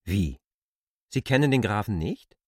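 An actor playing a polite but persistent newcomer to a village in a literary radio play. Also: male voice, lowish voice, lively intonation, a little slow, breathy edge, no echo, clean studio sound. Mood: probing, puzzled.

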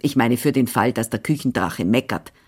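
A woman's voice: very deep voice, expressive, normal speed, breathy edge, pitched below the performer's own voice, heavily acted. A woman reading the part of a stout older housekeeper who is firm, chatty and managing. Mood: bossy.

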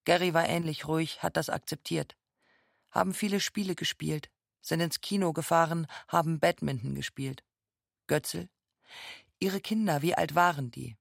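Female witness, mother, creaky voice, plain delivery, documentary radio play, dry sound. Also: low voice, normal speed, no room sound. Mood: matter-of-fact. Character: woman witness, mother describing her children's holiday friendships. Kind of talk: documentary radio play, reading court testimony.

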